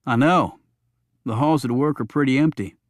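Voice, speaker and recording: A man's voice: mid-range voice, normal speed, no room sound, studio quality, natural voice. A man playing an easygoing, friendly Secret Service agent in an audiobook, speaking casually and briefly.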